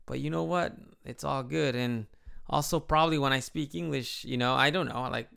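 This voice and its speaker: Chatty Chicago man with gravelly voice. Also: mid-range voice, normal speed, fairly bright tone. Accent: Midwest American with a slight Mexican tinge. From Chicago, United States. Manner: rambling, chatty, frequent restarts. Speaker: vlogger living in Japan. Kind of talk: casual personal vlog.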